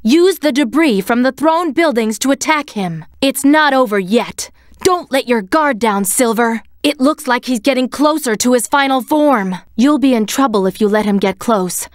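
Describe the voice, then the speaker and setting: A woman voicing a serious, composed young female cat in a video game, speaking in a measured, commanding way. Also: a little high, lively intonation, no echo, clean studio sound, natural voice. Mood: composed, instructive. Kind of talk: scripted game voice acting, tactical hints.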